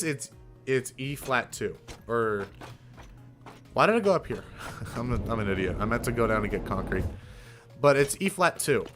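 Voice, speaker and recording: A young man streamer chatting casually, rambling with fillers and casual swearing, with a fairly deep voice. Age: young adult. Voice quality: somewhat deep voice. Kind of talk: casual live-stream chat.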